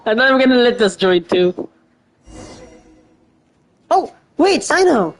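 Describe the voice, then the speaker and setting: A woman's voice: on the high side, sing-song, slow. Woman casually commenting over a game. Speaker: game streamer.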